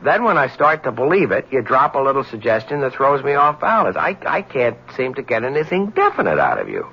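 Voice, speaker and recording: Gravelly, cocky newspaper reporter. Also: male voice, medium pitch, huge pitch swings, brisk, heavily acted. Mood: puzzled, pressing. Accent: American. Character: cocky, persistent male newspaper reporter, smitten and skeptical. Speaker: radio drama actor. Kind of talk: 1970s American radio drama acting. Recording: muffled old radio broadcast recording.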